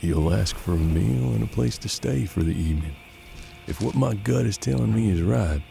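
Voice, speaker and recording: A man's voice: low-pitched, vocal fry, dark tone. An American man playing a seasoned, unflappable bounty hunter in a western audio drama, with a folksy, laconic drawl. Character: seasoned, unflappable Old West bounty hunter mentoring his apprentice.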